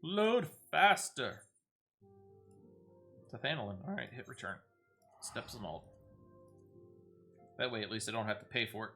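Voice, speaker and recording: A man's voice: medium pitch, big pitch swings, a little slow, long pauses, a bit bright, soft background music. A man giving casual, playful gameplay commentary, talking to himself as he plays. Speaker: gaming streamer.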